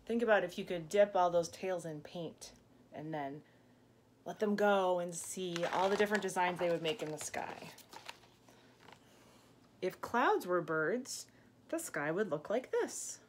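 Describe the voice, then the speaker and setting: Slow-paced woman reading to young children. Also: fairly low pitch, expressive. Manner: gentle teacherly questioning, simple explanations. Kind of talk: picture book read-aloud with asides to children. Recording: clear background room noise.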